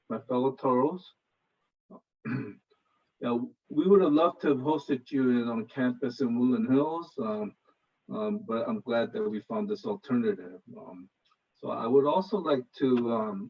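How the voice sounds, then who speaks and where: A man in his fifties, born in Korea, with a Los Angeles Koreatown accent, giving a casual info session presentation on a video call and often pausing on filler sounds. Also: medium pitch. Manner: hesitant, many filler sounds. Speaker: presenter, finance professional. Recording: slightly dull video call audio.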